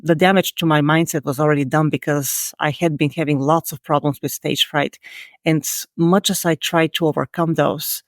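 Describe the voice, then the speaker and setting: Gravelly woman with a Bulgarian accent. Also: deep voice, dry recording, clean studio sound. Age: 40s. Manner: earnest, frank, self-critical storytelling. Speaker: podcast guest. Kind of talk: reflective interview answers.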